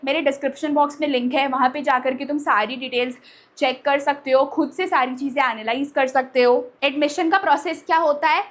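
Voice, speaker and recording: A woman with a notably high voice talks directly to young students as their online teacher. She addresses them familiarly, like an elder sister, mixes English phrases into her speech and often adds short tags to check they follow. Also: fairly bright tone. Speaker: online teacher and channel host. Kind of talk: direct-to-audience vlog talk with a sponsored pitch.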